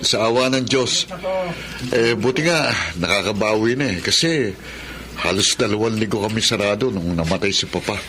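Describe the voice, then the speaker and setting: A level-headed auto repair shop owner. His voice is fairly low, with casual, easygoing radio drama delivery. Clear noise runs behind him. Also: normal speed, pushed and bright. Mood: casual, practical. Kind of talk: Tagalog radio drama acting.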